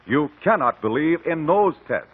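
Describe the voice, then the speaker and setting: A man's voice: fairly high pitch, very animated, normal speed, slightly rough. A man delivering a 1950s radio advertisement as an announcer, declamatory and emphatic. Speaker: commercial announcer. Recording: tinny lo-fi 1950 radio broadcast.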